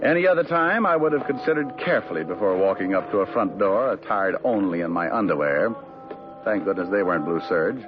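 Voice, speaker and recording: A wisecracking radio private eye. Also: male voice, low voice, animated, fairly quick, music in the background. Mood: wry. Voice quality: dry, wry delivery. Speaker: lead detective narrator. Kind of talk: 1940s radio acting. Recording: muffled old radio broadcast.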